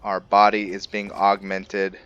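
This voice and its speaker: A man's voice: fairly low pitch, monotone, a little slow, a bit bright, lo-fi sound, slight background noise. A man giving casual commentary as he plays a video game, musing aloud in a laid-back way. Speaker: host of a video game roleplay series. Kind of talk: casual let's-play commentary.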